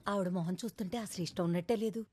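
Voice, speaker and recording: A woman's voice: medium pitch, racing, dark tone, a little hiss or hum, close to an everyday voice. An actress playing a watchful, discreet middle-aged village mother in a film. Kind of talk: naturalistic film acting. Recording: music bed under film dialogue.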